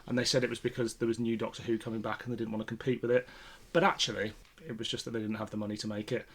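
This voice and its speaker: A man's voice: medium pitch, little pitch movement, racing, a bit bright. British man, casual podcast co-host. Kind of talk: casual chat about classic television sci-fi.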